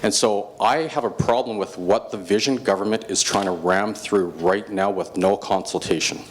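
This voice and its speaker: A man, a mayoral candidate, speaking haltingly with restarts and pushing to keep the floor in a debate. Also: lowish voice, steady tone, slight background noise. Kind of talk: political debate.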